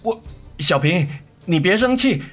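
A man's voice: high-pitched, animated, a little slow, fairly bright tone, exaggerated voice. A man acting a cheating husband and father in a radio drama, by turns defensive and pleading, with emotional, faltering delivery. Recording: studio radio drama with music underneath.

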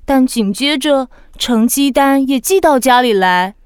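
A woman's voice: high voice, animated, unhurried, clean tone, no room sound, studio quality. A woman narrator reading a children's story as scripted narration. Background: music.

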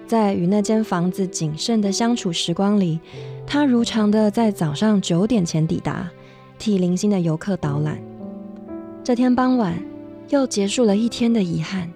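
A woman narrating a radio drama as a reflective storyteller, measured and literary. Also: mid-range voice, mellow, close to an everyday voice. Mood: reflective, storytelling. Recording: music behind the voice.

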